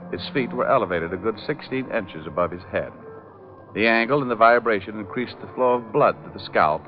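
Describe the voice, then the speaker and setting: An actor playing a glib, flirtatious private detective who narrates his case with deadpan quips in vintage radio style. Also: low voice, a little hiss or hum, lightly acted. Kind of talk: 1940s-50s radio detective acting, hardboiled narration. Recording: muffled old-time radio recording with music.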